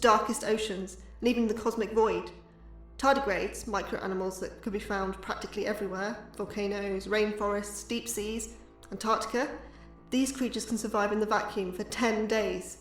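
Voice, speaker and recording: A woman's voice: medium pitch, lightly acted. A woman playing an enthusiastic but anxious astrobiologist giving an academic lecture in an audio drama, earnest and slightly awkward. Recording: echoey, distant hall with music.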